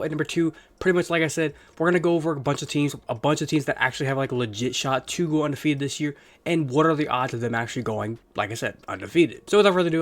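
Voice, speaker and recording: A young man hosting his own sports talk video, congested from illness, rambling casually and swearing now and then. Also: medium pitch. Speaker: sports channel host.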